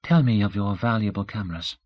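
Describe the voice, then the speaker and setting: A male narrator voicing a candid, shrewd young woman in her early twenties who speaks softly and asks pointed questions in an audiobook. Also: on the low side, a little fast, highs cut off, lightly acted. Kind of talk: audiobook character voice.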